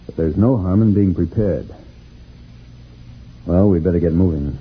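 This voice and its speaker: An actor playing a reassuring space guide in a vintage science-fiction radio serial, explaining things steadily. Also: male voice, sits low, unhurried, slightly rough, heavily acted. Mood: grave, protective. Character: reassuring adult male space guide from another planet. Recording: tinny, noisy old radio recording.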